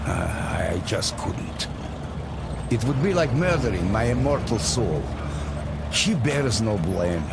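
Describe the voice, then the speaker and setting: An actor playing a weary, fatherly older submarine captain in a video game, speaking frankly and earnestly. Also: mid-range voice. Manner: frank, earnest, plain-spoken. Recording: loud music behind the voice.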